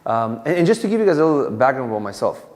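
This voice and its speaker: A man's voice: medium pitch, sing-song, racing, a little mellow. A man in his thirties from Afghanistan, a police officer giving an informal community talk in a conversational way with many fillers and restarts. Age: 30s. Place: community hall. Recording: long hall reverb.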